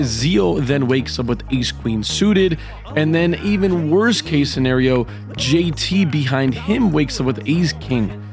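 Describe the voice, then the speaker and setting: A man narrating his poker hands in a voice-over, with hyped, dramatic play-by-play and a slightly hoarse voice from a cold. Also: fairly high pitch. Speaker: poker vlogger. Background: background music.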